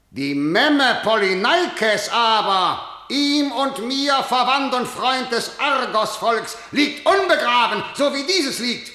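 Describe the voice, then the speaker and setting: Stern ruler declaiming in a reverberant hall. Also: male voice, sits high, bright, forceful tone, full character voice. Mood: vehement contempt. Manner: declamatory, commanding. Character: stern, imperious male ruler proclaiming harsh law. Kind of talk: German stage-verse radio drama acting. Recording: echoey hall with long reverb.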